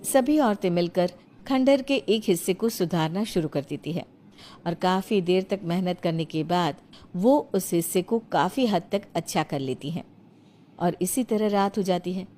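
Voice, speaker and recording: A woman's voice: fairly low pitch, normal speed, dark tone, soft background music. A woman reading scripted narration for a cartoon moral story as its storyteller.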